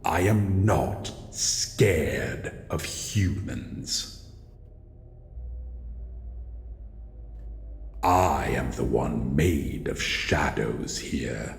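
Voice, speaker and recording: A man voicing a grumpy, wary shadow monster in an audio roleplay, speaking in a halting, brooding way as it guards its old house. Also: a bass voice, vocal fry, exaggerated voice. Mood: defensive, brooding. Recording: echoey hall reverb, faint background noise.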